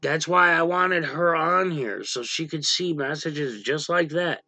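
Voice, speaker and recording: A man hosting a casual livestream with a gravelly voice, talking bluntly to viewers and snapping at chatters who annoy him. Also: fairly high pitch, a little slow, sharp tone, no room sound. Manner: blunt, irritated, snaps at chatters. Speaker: livestream host. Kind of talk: casual livestream chat reading viewer comments. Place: dim room at home, power out.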